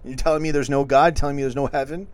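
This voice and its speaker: A man's voice: mid-range voice, steady tone, fast talker. A man hosting a livestream, reading chat comments aloud and arguing back in a casual, combative way. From not yet been to Liverpool. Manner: argumentative, reacts to chat comments, laughs often.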